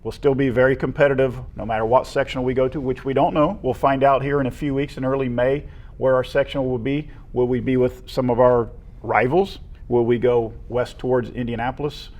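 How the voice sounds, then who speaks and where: An American man speaks at a medium pitch, talking through school sports as a co-host. His delivery is conversational, like an informal local sports discussion. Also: fairly even intonation, a little fast, darkish tone.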